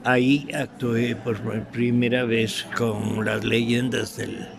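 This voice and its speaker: Slow, gravelly older Ecuadorian man. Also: mid-range voice, flat delivery, a crowd in the background. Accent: Ecuadorian Spanish. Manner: halting, deliberate, with pauses and repetitions. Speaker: interviewee, veteran radio drama actor. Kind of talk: reminiscing in an interview.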